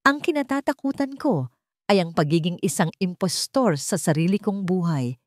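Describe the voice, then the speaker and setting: A woman's voice: on the low side, expressive, normal speed, dry recording, studio quality, lightly acted. A Filipino woman voicing an anxious, proud male billionaire in a romance audio drama, hesitant and inwardly desperate. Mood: fearful, confessional. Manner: hesitant, uneasy. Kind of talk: romance radio-drama storytelling.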